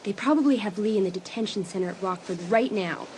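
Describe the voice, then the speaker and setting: A woman playing a lively, competitive, sympathetic teenage girl, the best friend in a dramatised audiobook. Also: mid-range voice, fast, a little hiss or hum, close to an everyday voice. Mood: sympathetic, shocked.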